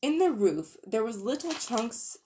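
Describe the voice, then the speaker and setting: Expressive woman reading a children's book. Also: on the high side, measured pace, slight background noise. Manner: expressive storytelling. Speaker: reader. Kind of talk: dramatic reading of a children's novel.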